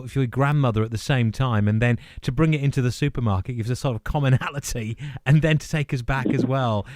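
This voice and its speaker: A man's voice: medium pitch, a little mellow, no room sound. Male radio host, dry studio sound. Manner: enthusiastic, encouraging. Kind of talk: live radio interview. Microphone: close studio radio microphone.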